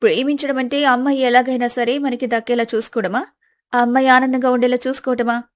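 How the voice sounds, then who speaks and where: A woman's voice: on the high side, flat delivery, fast, no echo, studio quality, lightly acted. A woman voicing a bossy but caring young working woman who scolds and advises in a story. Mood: firm, advising.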